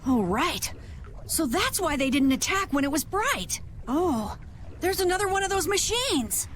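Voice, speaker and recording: A woman's voice: very high-pitched, very animated, measured pace, slight background noise, full character voice. A woman voicing a plucky, inquisitive youngster in a video game, eager and questioning. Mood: curious, eager.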